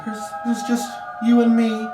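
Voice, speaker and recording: A man delivering a mock-dramatic, gloomy monologue in a drawn-out theatrical manner. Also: very high-pitched, monotone. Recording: echoey, far from mic, music behind.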